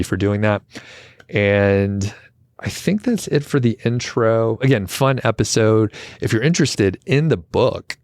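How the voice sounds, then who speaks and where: A man hosting a podcast intro in a casual, rambling, self-deprecating way, his voice still a little gravelly from overuse. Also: on the low side, dry recording. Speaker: podcast co-host. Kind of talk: casual solo intro chat.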